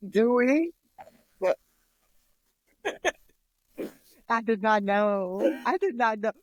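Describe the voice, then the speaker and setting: A woman's voice: a little high, lively intonation, very slow, no echo, poor audio. Blunt American woman podcast co-host. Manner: blunt, slangy. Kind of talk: casual girl-talk chat.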